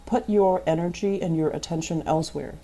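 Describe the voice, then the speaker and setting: Low, mellow-voiced woman reading tarot. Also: brisk. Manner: earnest advising, repeats phrases for emphasis. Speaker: tarot reader. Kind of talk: tarot reading addressed to listeners.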